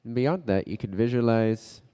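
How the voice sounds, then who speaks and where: A man leading a guided meditation as a teacher, speaking in short, unhurried phrases with pauses between them. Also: medium pitch, warm tone, clean tone. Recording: long reverberant echo.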